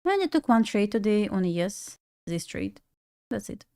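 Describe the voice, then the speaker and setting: A woman's voice: medium pitch, big pitch swings, moderate pace, dark tone, smooth. A young woman from Belarus, a native Russian speaker with a Russian accent, chatting casually and laughing while she day trades on a live stream. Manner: chatty, laughs often, talks to herself.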